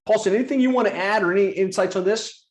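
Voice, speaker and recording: A man hosting a live online workshop, coaching agencies on sales follow-up in a brisk, practical way over a video call. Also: high-pitched. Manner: brisk, coaching, acts out sample sales lines. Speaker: workshop host. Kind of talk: live webinar teaching.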